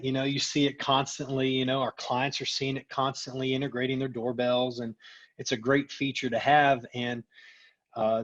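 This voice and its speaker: A man's voice: medium pitch, lo-fi sound. A middle-aged man being interviewed as a company executive, chatting casually and often checking in with the listener. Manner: conversational, peppers talk with "you know" and "right?".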